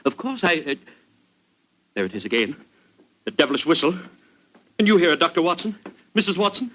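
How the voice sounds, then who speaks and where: An actor playing an agitated older British army colonel, excitable and hesitant, in 1940s radio drama style. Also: male voice, a little high, fairly quick. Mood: agitated, fearful. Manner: excitable, hesitant, then vehement. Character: agitated older English colonel haunted by imagined sounds. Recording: old 1940s radio recording.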